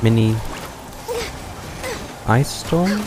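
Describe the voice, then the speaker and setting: A man's voice: mid-range voice, very animated, very slow, noisy background. A man giving casual, understated live commentary as he plays a game, often muttering. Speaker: game streamer. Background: game music.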